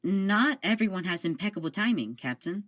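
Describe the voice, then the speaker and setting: A woman's voice: medium pitch, lively intonation, normal speed, lightly acted. A woman voicing an earnest, starstruck young male ship security officer in an amateur audio drama. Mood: eager.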